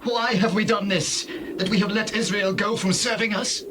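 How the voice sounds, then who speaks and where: A man playing a high-voiced, agitated Egyptian courtier. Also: fairly even intonation, moderate pace, noisy background, natural voice. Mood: dismayed. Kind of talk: dramatized scripture acting.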